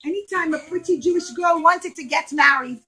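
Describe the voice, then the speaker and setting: A woman's voice: very high-pitched, moderate pace, pushed and bright. A woman storyteller putting on a puppet show for young children, dramatic and speaking in simple words directly to them.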